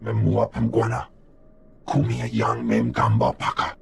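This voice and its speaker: An actor voicing a male alien analyst in a video game, speaking guttural invented syllables in short phrases with a gravelly voice. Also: very deep voice, sing-song, measured pace, slight background noise, full character voice. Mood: businesslike. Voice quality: gravelly, creaky.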